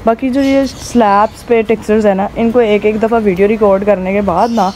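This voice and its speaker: A hoarse woman rambles through a casual vlog, her voice mellow and soft-edged. She films outdoors on a rooftop with a phone camera. Faint traffic and outdoor noise sit behind her. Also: medium pitch, steady tone, brisk. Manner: chatty, rambling, with many fillers. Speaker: vlogger.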